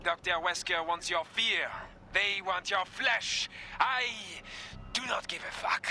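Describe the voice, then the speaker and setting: A man's voice: high-pitched, takes it slowly, pushed and bright, a little hiss or hum, full character voice. A man playing a gleefully sadistic, foul-mouthed mastermind villain in a video game, with a theatrical, taunting, sneering delivery. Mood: sneering menace.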